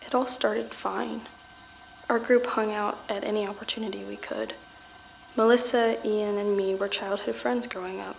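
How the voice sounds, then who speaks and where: A woman's voice: mid-range voice, steady tone, moderate pace, gravelly, natural voice, poor audio. Guarded teenage girl suspect, played by a woman, faint noise. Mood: subdued, reminiscing. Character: guarded teenage girl accused of murder, recounting events. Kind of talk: audio drama acting.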